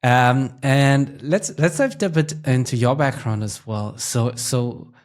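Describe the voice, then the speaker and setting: A man hosting a podcast interview, asking questions in a relaxed, hesitant way with fillers. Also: mid-range voice, measured pace. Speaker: podcast host.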